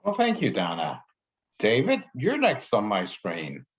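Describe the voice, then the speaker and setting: A man's voice: fairly low pitch, huge pitch swings, measured pace. A man moderating a video panel who briefly and courteously hands the floor to each speaker. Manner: brief, courteous hand-offs. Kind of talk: handing over turns.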